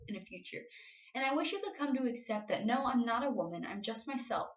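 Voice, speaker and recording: A young adult woman performing spoken-word slam poetry with an earnest, emotional, confessional delivery. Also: fairly high pitch. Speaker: slam poet. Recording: roomy, dull lo-fi home recording.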